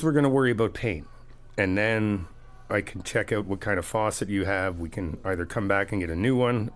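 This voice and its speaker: A man doing a friendly ASMR roleplay, chatty and teasing, reassuring the listener as he helps out. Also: on the low side. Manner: reassuring, helpful.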